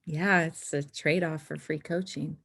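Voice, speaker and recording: Woman acting earnest teenage girl, husky, crackly low register, drama read-through, dry sound. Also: steady tone, unhurried, a bit bright, no room sound, full character voice. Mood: earnest, guarded. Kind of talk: screenplay table read.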